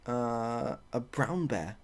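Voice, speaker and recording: A softly spoken man improvising a story in a hesitant, rambling, deadpan way. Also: medium pitch, drawn-out words. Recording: clearly audible background noise.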